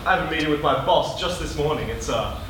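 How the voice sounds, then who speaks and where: A man's voice: a little high, expressive, fast, a bit bright. Echo-drenched, vain, bumbling superhero played by a man. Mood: smug, confiding. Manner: boastful, stammering, blurting and backtracking. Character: vain, bumbling male superhero barely hiding as a reporter. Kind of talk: broad comic stage acting. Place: live stage performance in a hall. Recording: echoey hall, distant camera mic, noisy.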